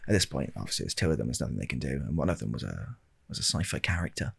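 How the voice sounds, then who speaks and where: A British man telling a rambling comic ASMR story in a deadpan, hesitant way, with a husky, gravelly voice, close to the microphone. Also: extremely low pitch, rapid-fire. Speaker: video storyteller. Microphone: close handheld-recorder style microphone.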